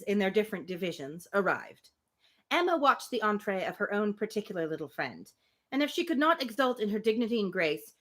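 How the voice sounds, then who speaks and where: A woman reads a novel aloud for a live stream. Her mid-pitched voice moves in lively swings, and she keeps an informal, expressive manner between the text and her own asides. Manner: expressive, informal, breaks off to react. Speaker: reader hosting a livestream reading.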